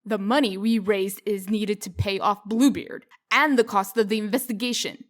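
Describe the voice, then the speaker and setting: A woman voicing a brisk, shrewd female official in a comic dub, firm, dry and sardonic in manner. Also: mid-range voice, steady tone, close to an everyday voice. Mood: stern, businesslike.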